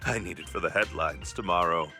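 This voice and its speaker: An actor playing a vain, self-serving police inspector in a game, with a raspy, excitable voice. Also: male voice, low-pitched, brisk, faint music behind. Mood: pompous. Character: vain, self-serving male police chief, middle-aged.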